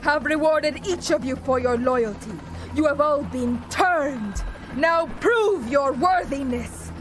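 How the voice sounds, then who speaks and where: A high-pitched, slow and deliberate female vampire commander from a video game. She is fierce and vengeful, declaiming like a grand leader, with faint music behind her. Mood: rousing.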